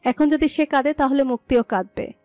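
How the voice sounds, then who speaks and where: A woman narrates a scripted love story in a high-pitched voice. The recording is dry, with soft music underneath. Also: brisk, no echo.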